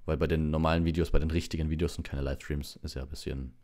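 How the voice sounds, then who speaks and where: A young adult man in a relaxed style, reading a bedtime story or chatting casually as a livestreamer. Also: rumbling low, fast. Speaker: audiobook reader and livestreamer. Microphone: ordinary plugged-in microphone.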